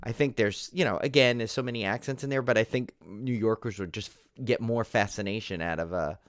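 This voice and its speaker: A man's voice: on the low side, a little fast, no room sound, clean studio sound. A man with a New York accent co-hosting a podcast in casual chat, rambling and laughing often. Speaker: podcast co-host.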